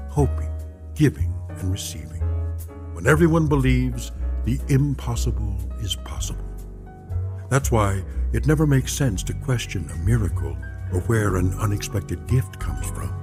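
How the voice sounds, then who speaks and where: An actor playing a solemn narrator of strange tales, speaking in a measured, portentous way. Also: male voice, fairly low pitch, close to an everyday voice. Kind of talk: dramatic scripted anthology narration. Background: music.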